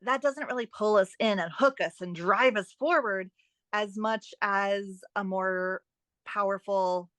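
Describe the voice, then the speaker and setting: A woman's voice: mid-range voice, measured pace, a bit bright, dry recording. A woman guest on an interview, an author and editing expert explaining writing tips conversationally, with an emphatic, instructive manner.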